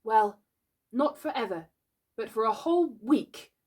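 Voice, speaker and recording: A woman's voice: a little high, animated, unhurried, husky, pitched above the performer's own voice. A woman voicing a young boy leader in a storybook, stern and cocksure, with imperious, captainy delivery. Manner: captainy, imperious, clipped orders. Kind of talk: storybook character voice.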